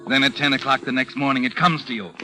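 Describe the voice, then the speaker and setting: Over clear background music, a man acts as an ominous, all-knowing narrator of a vintage radio mystery. His voice is mid-pitched and insinuating, sardonically needling the character he addresses. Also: fairly even intonation, quick pace, lightly acted. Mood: taunting. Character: taunting, omniscient, ominous male narrator of crime tales. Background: dramatic music.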